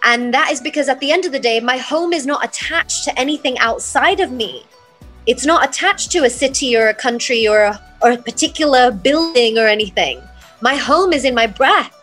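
A woman who grew up in England and America talks as an interview guest. Her voice sits a little high, and she speaks in an earnest, reflective, spiritual way. Light music is in the recording. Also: expressive, normal speed, fairly bright tone. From born in England, raised there and in the United States. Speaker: podcast guest, mindset coach and yoga teacher.

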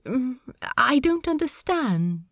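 A woman voicing a gentle, fussy, delighted man in love, with prim phrasing, in a fan fiction audio drama. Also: sits high, big pitch swings, takes it slowly, no echo, studio quality, exaggerated voice. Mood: eager, tender. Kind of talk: fan fiction podfic character acting.

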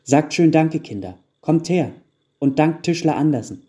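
A man voicing a motherly, brisk gnome wife in a storybook tale. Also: fairly high pitch, lively intonation, warm tone, pitched above the performer's own voice, lightly acted. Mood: motherly, firm.

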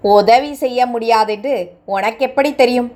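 A woman voicing a kind, fatherly middle-aged man who speaks in a gentle, coaxing way. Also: fairly high pitch, a little slow, close to an everyday voice.

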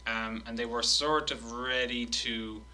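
Man, bright forceful voice, casual podcast banter, animated quote reading. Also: mid-range voice, measured pace, a little hiss or hum. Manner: animated, swearing, laughing while reading quotes.

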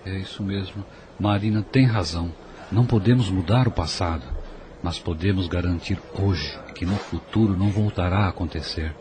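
A very deep-voiced older Brazilian father played by an actor in a radio soap. He is calm, devout and protective, with clear noise behind him. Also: normal speed, lightly acted. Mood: consoling.

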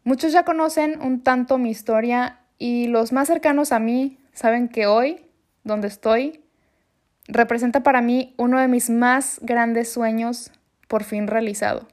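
A young woman, telling a personal story in an earnest, reflective vlog monologue with unhurried pauses. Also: on the high side, steady tone. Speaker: vlogger.

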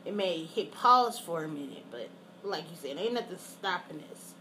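A woman's voice: fairly low pitch, lively intonation, moderate pace, pushed and bright, a little hiss or hum, poor audio. Blunt teasing woman. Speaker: podcast co-host. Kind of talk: casual chat.

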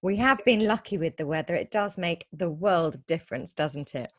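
A woman's voice: lowish voice, lively intonation, moderate pace, no room sound, clean studio sound. A woman hosting a podcast interview, asking questions in a chatty, encouraging way. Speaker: podcast host.

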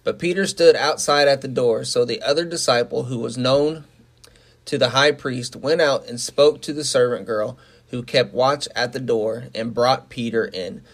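A man's voice: medium pitch, moderate pace. A man co-hosting a church podcast, talking in an earnest, upbeat and plain-spoken way and reading scripture aloud. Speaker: podcast co-host. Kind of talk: casual faith talk and scripture reading.

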